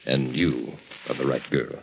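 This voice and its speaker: Low-voiced, troubled man in vintage radio. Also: fairly even intonation, gravelly, slight background noise, full character voice. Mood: earnest, tender. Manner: hesitant, halting. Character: troubled, weary, debt-ridden married man, weak and evasive. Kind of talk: 1940s radio drama acting. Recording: dull, lo-fi 1940s radio recording.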